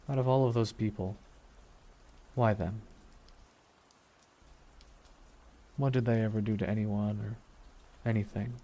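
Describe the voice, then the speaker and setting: A man playing a grieving, vengeful supervillain in an ASMR roleplay monologue, weary and softly menacing, brooding with deliberate pauses. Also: on the low side, lightly acted. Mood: sorrowful, brooding. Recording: steady noise under voice, rain ambience.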